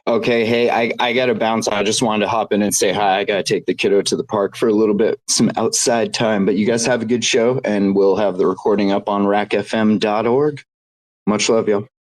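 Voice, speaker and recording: Low-voiced American man, dry close sound. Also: fairly even intonation, fairly quick, no echo, rough recording. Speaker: co-host. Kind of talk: casual chat.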